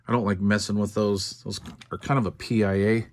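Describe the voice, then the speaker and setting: Low-pitched older American man, folksy. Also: level tone. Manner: folksy, unhurried, thinking aloud. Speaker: radio repair video host. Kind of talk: casual hands-on repair narration.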